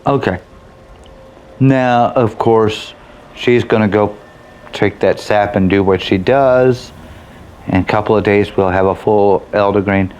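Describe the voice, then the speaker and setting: A man's voice: lowish voice, measured pace, long pauses, darkish tone. A man giving casual, chatty, rambling commentary as he plays through a video game. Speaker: gaming video host and reviewer. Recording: faint background game noise. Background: video game ambience.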